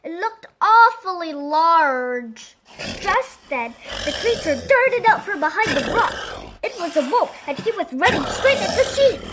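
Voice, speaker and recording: A woman's voice: very high voice, very noisy. A woman storyteller narrating a children's picture book expressively.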